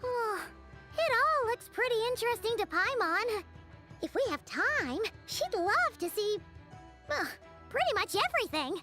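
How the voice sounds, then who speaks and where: A woman voicing a tiny, excitable, childlike fairy sidekick in a video game, chirpy and theatrical in manner. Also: extremely high pitch, unhurried, faint music behind, full character voice. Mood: excited. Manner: chirpy, theatrical, speaks of herself in third person.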